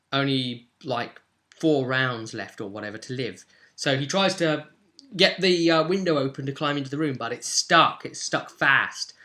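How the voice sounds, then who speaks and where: A man's voice: medium pitch, sharp tone. A man speaking as a game master, casually recapping and explaining a tabletop roleplay session in a conversational way.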